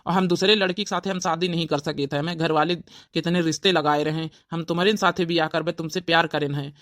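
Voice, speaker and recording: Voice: mid-range voice, fairly even intonation, quick pace, fairly bright tone, dry recording, studio quality, lightly acted. A performer playing an earnest, devoted young village man with an Awadhi accent in a family cartoon. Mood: pleading, earnest. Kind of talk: family drama cartoon dialogue.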